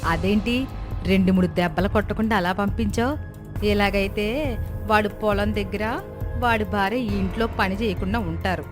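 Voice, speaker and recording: A woman's voice: mid-range voice, normal speed, over music, close to an everyday voice. A woman playing a proud, harsh landlord's wife with a challenging manner in a moral story. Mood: haughty, goading.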